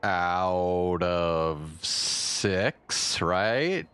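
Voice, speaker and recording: Very deep, slow-talking man streaming games. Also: expressive, background noise. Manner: wry, muttering asides, swearing. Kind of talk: casual game commentary, reading dialogue aloud. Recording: game music behind the voice. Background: game music.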